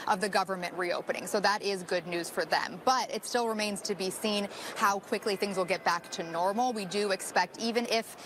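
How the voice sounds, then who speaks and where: Female field reporter, American, live TV hit, medium pitch, light location noise. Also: moderate pace, hardly any pauses. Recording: faint location background noise.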